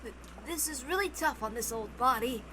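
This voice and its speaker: A woman voicing a weary old gentleman in a visual novel, polite, formal and a little faltering. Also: high voice, lively intonation, moderate pace, noisy background.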